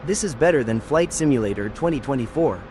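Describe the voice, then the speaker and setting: A male voice reading out short viewer chat messages in a flat, even way. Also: mid-range voice, fast talker, slight background noise.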